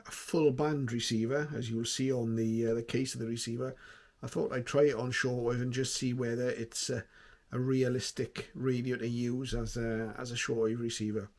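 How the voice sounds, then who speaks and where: A man's voice: mid-range voice, little pitch movement, moderate pace, a little mellow, no echo. A man giving an informal, matter-of-fact product demonstration, explaining things as he goes. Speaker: presenter of a radio receiver review.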